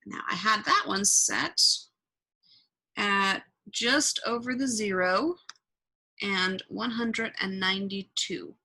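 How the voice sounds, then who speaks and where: A woman giving a casual, improvised software tutorial, thinking out loud and swearing offhandedly as she goes. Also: mid-range voice, slow pace, poor audio. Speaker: tutorial presenter.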